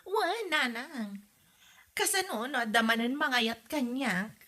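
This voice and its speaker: A woman with an Ilocano accent playing a brash, dramatic young mother in a comedy drama, with exclaiming, drawn-out delivery. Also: on the high side, animated, pushed and bright, exaggerated voice. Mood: defiant whining. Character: brash, dramatic young mother defending her love life.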